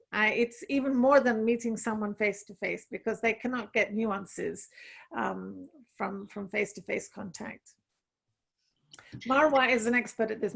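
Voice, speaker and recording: A woman's voice: fairly high pitch, vocal fry. A woman speaking earnestly on a video call, giving advice in an online group discussion and laughing lightly between points. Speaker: panelist.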